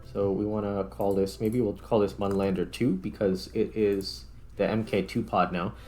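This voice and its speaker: A man's voice: fairly low pitch, flat delivery, normal speed, dark tone, soft background music. A man giving casual let's-play commentary as a gaming video host, thinking aloud in an informal way.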